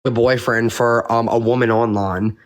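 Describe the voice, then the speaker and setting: A woman hosting a casual livestream, rambling and swearing, her voice going hoarse from talking. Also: a bass voice, moderate pace, slight background noise. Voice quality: profane, rambling, animated. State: losing her voice. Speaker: livestream host.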